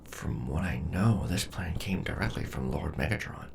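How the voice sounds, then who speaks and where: A man playing a gruff, loyal, protective robot soldier in an audio drama, earnest and rough-edged. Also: very deep voice, moderate pace, exaggerated voice. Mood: wry, suspicious. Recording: very noisy voice track with ambience.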